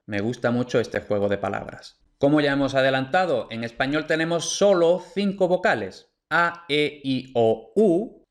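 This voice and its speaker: Methodical male teacher. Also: mid-range voice. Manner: clear, methodical, restates each point. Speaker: Spanish pronunciation teacher. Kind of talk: online course lecture over slides.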